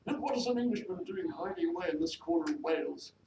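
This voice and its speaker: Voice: mid-range voice, lively intonation, fast, pitched above the performer's own voice, lightly acted. A reader voicing a haughty, peevish widow of the minor gentry who scolds in formal, bossy speech, as in a period novel. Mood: indignant, scolding. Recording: noisy echoey room, distant mic.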